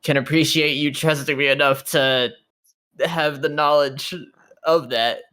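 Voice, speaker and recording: A woman's voice: deep voice, fairly even intonation, measured pace, a bit bright. A woman playing a tabletop roleplay, chatting casually in character in a blunt, sardonic, sweary way. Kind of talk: casual, improvised in-character chat.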